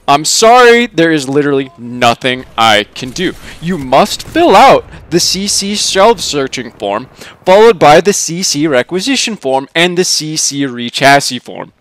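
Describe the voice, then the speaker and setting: Bureaucratic AI clerk read by a man, slightly high voice, formal and unhelpful, dryly sardonic, for a sci-fi short story. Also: a bit bright. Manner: formal, bureaucratic, matter-of-fact. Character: unhelpful officious male AI clerk, coolly sardonic.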